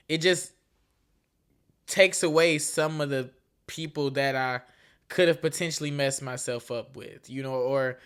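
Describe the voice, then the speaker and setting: A woman's voice: deep voice, fairly bright tone. A young woman in her twenties hosts her own podcast alone, chatting loosely and frankly with plenty of slang and cursing. She rambles, restarts her sentences, and keeps checking in with the listener. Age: 20s. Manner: slangy, frank and profane, with frequent "you feel me" asides. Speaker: podcast host. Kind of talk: casual solo podcast chat.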